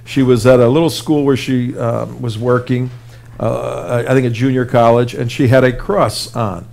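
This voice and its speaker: A man's voice: medium pitch, fairly even intonation, normal speed, gravelly, darkish tone. Informal male talk show host. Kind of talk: casual live interview banter.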